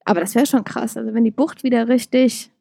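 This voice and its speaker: A young woman with a Berlin accent chats on her podcast in a rambling, easygoing way, full of little fillers and restarts. Her voice sits a touch high but sounds warm and mellow, and the recording is close and dry. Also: fairly even intonation, fast, creaky, no room sound. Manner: chatty, many fillers and restarts, laughs often. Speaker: podcast co-host. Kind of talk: casual podcast chat.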